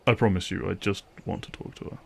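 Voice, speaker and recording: A man's voice: deep voice, fairly even intonation, fast talker, creaky, darkish tone, husky. A man playing a terse, dryly guarded vampire hunter in a fantasy audio roleplay. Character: wry, guarded male vampire hunter, gruff protective ally.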